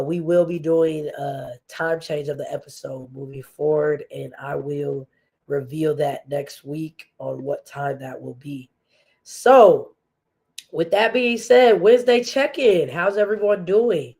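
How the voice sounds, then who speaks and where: A woman's voice: deep voice, a little mellow, slightly rough. A woman hosting a faith-based podcast, talking earnestly with a stuffy, congested nose and frequent fillers. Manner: slow, earnest, with many fillers and restarts. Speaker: podcast host.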